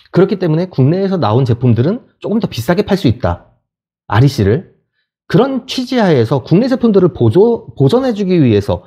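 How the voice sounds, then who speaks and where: A man with a fairly high, very lively voice delivers opinionated news commentary. He explains things emphatically and likes to put rhetorical questions to the listener. The tone is on the mellow side, and the audio is a little dull. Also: husky. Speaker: channel host and solar industry worker.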